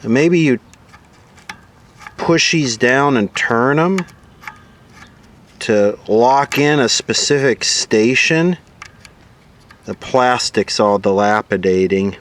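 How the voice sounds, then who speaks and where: A man's voice: mid-range voice, animated, takes it slowly, long pauses, slight background noise. A man giving casual off-the-cuff commentary as he looks over old equipment, musing aloud and asking himself questions with a sardonic streak. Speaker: host of a vintage radio repair video.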